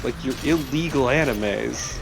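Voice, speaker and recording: A man's voice: medium pitch, measured pace, creaky, over music. A man co-hosting a let's play with casual, joking banter about the game.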